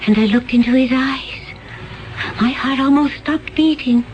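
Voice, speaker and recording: Cold alien assassin lady, intense, drawn-out delivery, old radio play feel. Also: sits high, dull audio. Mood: haunted. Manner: intense, gloating, slides into hushed whispers. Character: cold, vicious woman assassin from another planet. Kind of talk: 1970s radio drama acting.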